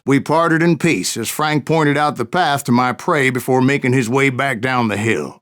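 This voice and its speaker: An actor playing an old American Wild West bounty hunter, gruff and weathered, spinning vengeful tales in an unhurried, folksy, salty way. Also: male voice, mid-range voice, a bit bright. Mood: wry reminiscing. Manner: unhurried, folksy, salty-tongued drawling storyteller. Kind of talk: first-person yarn-spinning narration for a Western game.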